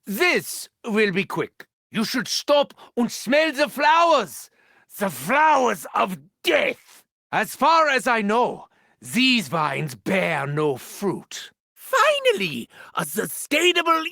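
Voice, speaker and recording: An actor playing a gleefully sadistic German mad scientist villain in a video game, theatrical and grandiose, with a German accent and mocking, formal phrasing. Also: male voice, very high-pitched, gravelly, sharp tone, no room sound, studio quality, full character voice. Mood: manic, gleeful. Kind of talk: video game character voice lines.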